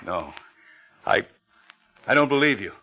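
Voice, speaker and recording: An actor playing a stunned, guilt-ridden murderer in a halting, grave manner, in the style of 1950s radio suspense. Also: male voice, mid-range voice, sing-song, breathy edge, heavily acted. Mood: stunned, disbelieving. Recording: dull vintage radio recording, music behind.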